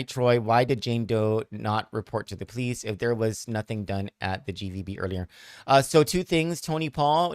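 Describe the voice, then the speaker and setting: A man's voice: fairly low pitch, no echo, studio quality. A man, hosting a news analysis show and answering viewer questions in a thoughtful, hesitant way full of fillers and restarts. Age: 40s.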